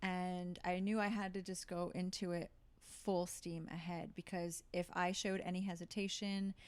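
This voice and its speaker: A woman's voice: fairly low pitch, little pitch movement, normal speed. A woman actor talking casually in an interview, thinking aloud with many fillers and restarts. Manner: thoughtful, with frequent fillers and restarts. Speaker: actor and guest.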